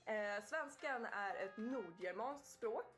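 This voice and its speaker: A woman from Sweden introduces her native language on video in a bubbly, slightly halting way. Her voice sits on the high side with faint music underneath. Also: expressive, a bit bright. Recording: clip with music, played on stream.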